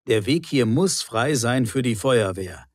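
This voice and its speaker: A man playing a particular, reproachful neighbour in a clearly articulated language-lesson dialogue. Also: medium pitch, lively intonation, measured pace, darkish tone, no echo, clean studio sound, close to an everyday voice. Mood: complaining. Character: grumbling male apartment neighbour, particular and reproachful.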